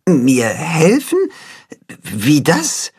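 A storyteller voicing a curious young male chicken who stammers and asks questions in hushed amazement. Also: medium pitch, huge pitch swings, drawn-out words, slightly rough, pitched above the performer's own voice, full character voice. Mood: amazed.

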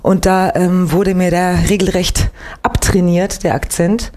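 A young Swiss woman, an actress and singer, chatting lively as a guest in a late-night radio interview, with a faint Swiss German accent. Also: fairly low pitch, a little slow. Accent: slight Swiss German. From Switzerland, Winterthur. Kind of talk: relaxed radio interview chat.

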